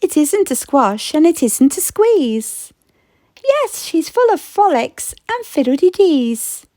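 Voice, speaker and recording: A woman reading a rhyming picture book aloud as an expressive storyteller. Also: high-pitched, takes it slowly, no room sound.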